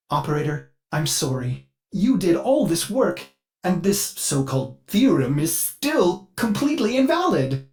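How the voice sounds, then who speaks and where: A man voicing a fussy, eager ship artificial intelligence in a video game, polite and theatrical, with a manic edge under the courtesy. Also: on the high side. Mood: exasperated. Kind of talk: scripted video game character dialogue.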